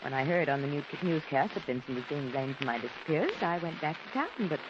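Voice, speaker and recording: A woman's voice: low-pitched, very animated, fast. An actress playing a scorned, scheming woman turned killer in a theatrical, heightened 1940s radio drama style. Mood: guarded. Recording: noisy, muffled old radio broadcast recording.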